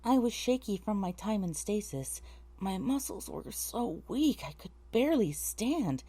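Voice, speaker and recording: Female narrator, soft-edged dark tone, middle register, dramatic first-person storytelling. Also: mid-range voice, expressive, measured pace. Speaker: voice actor, narrator.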